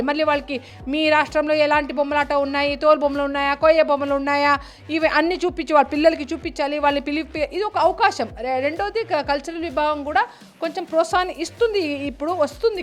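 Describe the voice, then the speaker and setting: Middle aged lady in an interview, high voice, repeats herself, drops in english words, faint music. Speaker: puppetry artist and teacher. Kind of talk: informal interview answer.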